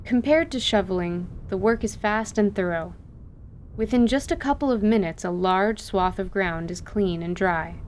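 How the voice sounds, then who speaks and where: A woman's voice: moderate pace, darkish tone. A woman reading scripted story narration as the narrator of an audio drama. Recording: noisy recording with low rumble.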